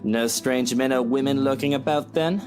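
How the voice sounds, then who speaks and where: An actor playing an arrogant, smug mansion owner in a video game, haughty, commanding and clipped. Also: male voice, medium pitch, moderate pace, over music, natural voice. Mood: stern, suspicious. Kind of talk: visual novel voice acting.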